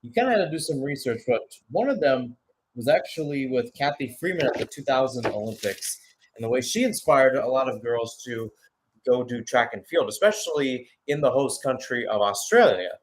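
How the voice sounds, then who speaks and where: A man's voice: medium pitch, expressive, normal speed, rough recording. Man calling soccer play-by-play. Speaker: play-by-play commentator. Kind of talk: live radio-style soccer commentary.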